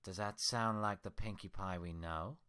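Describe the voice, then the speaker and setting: A man's voice: low-pitched, fairly even intonation, moderate pace, bright, forceful tone, clean tone. A man voicing the sarcastic, mocking mirror reflection of a female unicorn. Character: sneering mocking mirror twin of a unicorn mare.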